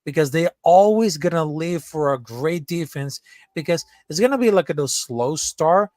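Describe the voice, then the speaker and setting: A man's voice: fairly high pitch, animated, moderate pace, darkish tone, no room sound, studio quality. A man from Venezuela with a Venezuelan Spanish accent, calling in to a football show and speaking earnestly and carefully as he searches for words. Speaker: caller. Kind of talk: casual chat.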